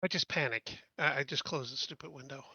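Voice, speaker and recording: A man's voice: mid-range voice, a little fast, bright, forceful tone, slightly rough, dry recording. A man playing a tabletop game in casual talk, blunt, insistent and impatient.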